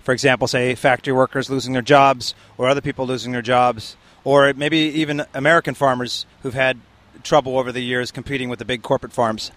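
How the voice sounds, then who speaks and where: A man's voice: medium pitch, level tone, a little fast, fairly bright tone. A man interviewing people outdoors at a protest, asking long, probing questions. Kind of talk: street interview.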